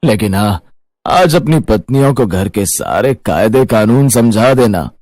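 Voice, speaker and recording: A man's voice: on the low side, lively intonation, unhurried, a little mellow, no room sound. A man voicing a demanding old father-in-law, grumbling and bossy, in a cartoon moral story. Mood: grumbling, stern. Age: older. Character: demanding old father-in-law, strict, self-satisfied patriarch.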